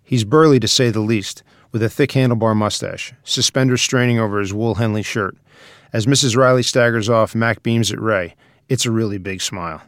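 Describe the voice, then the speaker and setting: Low-voiced man narrating a script, dry. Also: moderate pace, no room sound. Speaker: narrator reading stage directions. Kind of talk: scripted narration at a screenplay table read.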